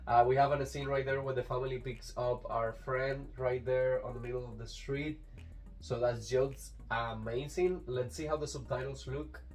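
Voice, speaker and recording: Enthusiastic man with a Latin American Spanish accent. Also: medium pitch. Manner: enthusiastic, rambling with fillers and restarts. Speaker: YouTube reviewer. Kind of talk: casual unboxing review.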